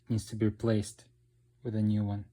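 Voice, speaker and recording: A man's voice: lowish voice, monotone, a little fast, mellow. A man explaining a repair job informally as an instructor, checking in with the listener as he goes. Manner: explanatory, checks in with "okay" and "right". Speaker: DIY motorcycle repair instructor. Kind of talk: informal hands-on tutorial narration.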